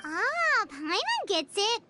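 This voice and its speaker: A woman voicing a tiny, childlike, excitable fairy companion in a video game with a squeaky, naive voice. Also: slow pace, heavy background noise, full character voice. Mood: eager, curious. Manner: talks in third person, naive.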